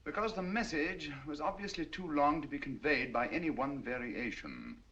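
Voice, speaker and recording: Sherlock Holmes, cool and crisp. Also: male voice, fairly high pitch, expressive, pushed and bright, muffled recording, heavily acted. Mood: explaining. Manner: crisp, measured, lightly ironic. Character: sharp, cool-headed English detective, middle-aged man. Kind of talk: 1940s film acting.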